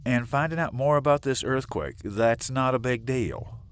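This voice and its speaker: Actor as a blunt, very animated sheriff. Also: male voice, medium pitch, moderate pace, a little hiss or hum, lightly acted. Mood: combative. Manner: blunt, informal authority. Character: gruff, plain-spoken sheriff, hot-headed but fair. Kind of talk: audio drama acting.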